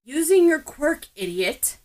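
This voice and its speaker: A woman voicing a gruff, impatient teenage boy in an audiobook, curt and annoyed. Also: high-pitched, big pitch swings, measured pace, a little mellow, pitched above the performer's own voice.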